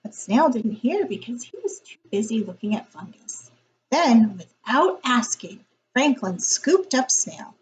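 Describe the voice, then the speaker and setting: Animated woman reading a children's story. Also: on the high side, a little slow, a little hiss or hum, low-quality recording. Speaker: teacher reading a picture book. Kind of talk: expressive children's story read-aloud.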